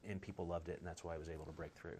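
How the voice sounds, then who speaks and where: A man's voice: low voice, little pitch movement, racing, darkish tone, slight background noise. A man, a film director, talking in a casual interview with enthusiasm and frequent restarts. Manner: enthusiastic, restarts phrases often.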